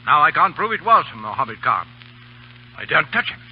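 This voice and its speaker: An actor playing a stern, proud British colonel in an old radio drama, with gruff, clipped military speech. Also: male voice, fairly high pitch, big pitch swings, normal speed. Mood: indignant. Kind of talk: 1930s radio drama acting. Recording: muffled, noisy old radio recording with hum.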